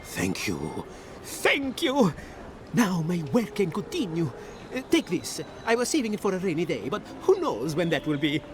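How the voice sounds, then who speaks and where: Casting: flustered, effusive male alchemist for a game, extremely animated pitch, noisy backdrop. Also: normal speed. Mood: eager, grateful. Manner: hesitant, effusive, scattered. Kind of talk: video game character acting.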